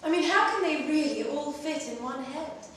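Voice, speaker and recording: A woman performing a literary short story at a live reading, in an expressive, measured way with pauses between phrases. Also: sits high. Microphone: distant microphone. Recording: very echoey room, distant mic, faint noise.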